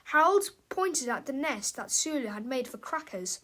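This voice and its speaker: Female storybook reader, high-pitched voice, expressive narration for children. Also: moderate pace.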